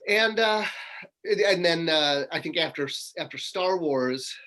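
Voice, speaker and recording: A man's voice: fairly high pitch, expressive, measured pace, pushed and bright. A middle-aged man from Connecticut, a toy marketer, talking casually as an interview guest on a video call from a home room full of toys, rambling with lots of fillers and restarts. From Connecticut, USA.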